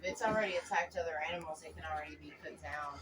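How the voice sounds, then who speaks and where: A woman's voice: low-pitched, racing, a bit bright. A woman confronting a neighbour in an argument on a phone call recording with faint noise.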